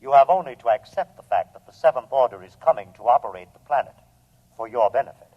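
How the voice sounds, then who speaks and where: An actor playing a calm, superior humanoid robot scout in a 1950s radio drama, speaking formally and with measured matter-of-factness. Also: male voice, mid-range voice, natural voice. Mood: assured. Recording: dull old-time radio recording.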